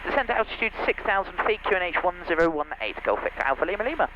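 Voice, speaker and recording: A man's voice: high-pitched, big pitch swings, racing. A man piloting a small plane, chatting and reading back radio calls on a headset. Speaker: pilot and vlogger. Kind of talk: cockpit chat, checklist talk and radio readbacks. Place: cockpit of a small propeller plane in flight. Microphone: aviation headset. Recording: muffled headset audio with loud engine noise.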